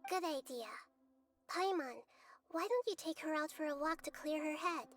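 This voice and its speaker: A woman voicing a wise, gentle, childlike young deity in an anime game, speaking in a measured, earnest way. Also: high-pitched, lively intonation. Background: music.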